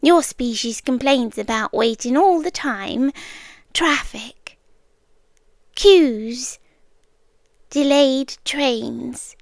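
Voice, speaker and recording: Slow, whispery British immortal stalker girlfriend, acted by a woman. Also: high voice, gravelly, no echo, exaggerated voice. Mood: wistful, tender. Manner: soft, deliberate, often dropping into whispers. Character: immortal cosmic female yandere stalker, obsessively patient, tender, possessive. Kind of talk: intimate ASMR girlfriend roleplay. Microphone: close microphone.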